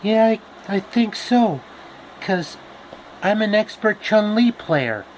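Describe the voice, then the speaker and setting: A man's voice: high-pitched, animated, slow pace, slight background noise, pitched above the performer's own voice, low-quality recording. A man voicing a cheerful, boastful young girl adventurer in a chatty, self-assured way for a story. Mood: confident.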